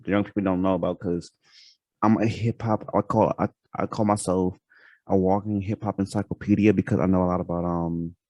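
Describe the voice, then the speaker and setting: A man's voice: low-pitched, a little mellow, no echo, dull audio. A man hosting a casual interview, asking long rambling questions full of fillers and restarts. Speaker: podcast host.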